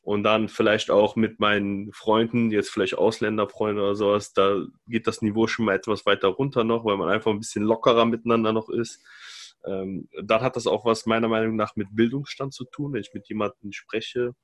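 A man's voice: on the low side, flat delivery, fairly quick. A man speaking in a loose, rambling way with many fillers during a casual discussion.

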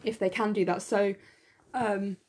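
A young woman co-hosting a podcast in casual chat, enthusiastic and full of fillers, her voice slightly croaky, recorded on a phone in her room. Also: mid-range voice, fairly even intonation, measured pace, a little mellow. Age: young adult. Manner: chatty, enthusiastic, many fillers. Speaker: podcast co-host.

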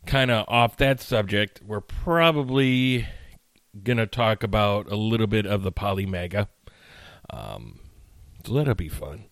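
A man's voice: on the low side, smooth, dry recording, clean studio sound. A man hosting his own podcast in a casual, rambling chat full of fillers, sounding tired and a bit nasal while he recovers from illness. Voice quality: slightly nasal. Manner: chatty, rambling, with frequent fillers and restarts. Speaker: podcast host. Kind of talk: casual solo podcast rant. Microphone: studio mic.

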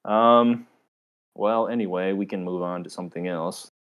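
Low-key young man with a flat delivery. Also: normal speed, clear, resonant tone, lo-fi sound. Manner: low-key and flat, self-described monotone. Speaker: podcast co-host. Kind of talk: casual chat.

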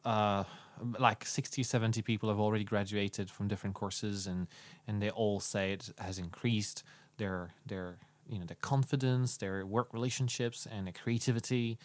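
Man, podcast host, low voice, casual joking chat, halting, dead dry recording. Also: steady tone, no echo.

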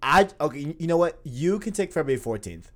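A man in his early twenties chats casually on a gaming podcast. His pitch swings up and down as he rambles, full of filler phrases and restarts. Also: sing-song, a little fast. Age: 20s. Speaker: podcast co-host.

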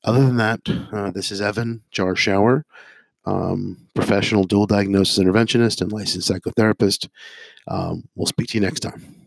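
A hoarse man, a psychotherapist and addiction interventionist, giving a halting talk to viewers full of ums and uhs and strings of listed phrases. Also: lowish voice, steady tone, dry recording. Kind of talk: informational monologue to viewers.

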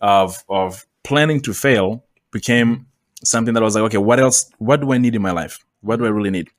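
Laid-back lower-voiced man, casual chat. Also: a little fast, no echo, clean studio sound. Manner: laid-back, loose and joking. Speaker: podcast guest. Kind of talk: casual conversation.

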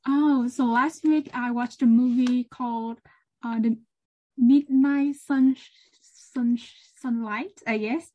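A woman's voice: on the high side, fairly even intonation, takes it slowly, warm tone. A woman in her twenties, a guest answering hesitantly and searching for words. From Vietnam. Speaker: medical student guest. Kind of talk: casual interview answers. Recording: tinny phone-like call audio.

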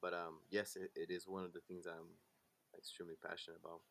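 A man's voice: sits low, completely flat delivery, fast, gravelly, fairly bright tone. A Brazilian-born man in his late twenties, a podcast guest talking loosely with lots of fillers and restarts. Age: late 20s. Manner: laid-back, loose talk with many fillers and restarts. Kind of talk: casual interview chat.

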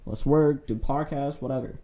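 A man's voice: medium pitch, normal speed, dark tone. A young man from Pakistan, a podcast guest talking candidly and in a rambling, self-deprecating way. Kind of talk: casual podcast conversation.

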